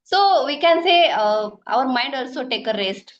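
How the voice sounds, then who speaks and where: A woman's voice: sits high, expressive. Hesitant woman, muffled sound. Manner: halting, searches for words, many fillers. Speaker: homemaker learning English. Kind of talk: casual conversation practice.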